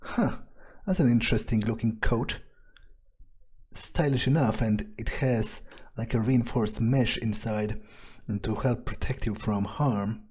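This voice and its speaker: A man playing a video game in character, thinking aloud in a musing, deliberate way with pauses. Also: mid-range voice, darkish tone, slightly rough. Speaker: let's player.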